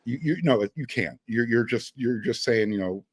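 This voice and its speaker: A man's voice: medium pitch, normal speed, breathy edge, no room sound. A man hosting a casual sports talk show, giving his opinions as a fan and putting questions to a guest. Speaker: sports podcast host.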